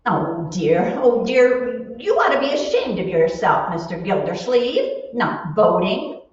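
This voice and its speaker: An actress playing a sensible, polite, gently scolding wife in an old-time radio comedy. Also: female voice, medium pitch, big pitch swings, slow pace. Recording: echoey room, distant mic, noisy, dull.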